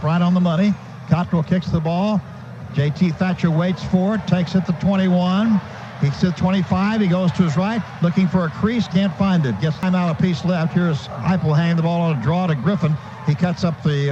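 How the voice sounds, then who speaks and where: An American man doing live radio play-by-play of a football game, calling each play in clipped present-tense phrases. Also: fairly high pitch. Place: stadium broadcast booth. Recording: muffled, noisy old radio broadcast.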